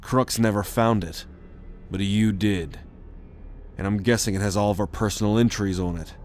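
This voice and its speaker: A man's voice: lowish voice, fairly even intonation, moderate pace, a little mellow, slight background noise, natural voice. A man playing a cocky, commanding alien rogue with a sardonic manner in an ASMR roleplay audio drama. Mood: serious, persuasive. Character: cocky male alien rogue, bold leader on a mission.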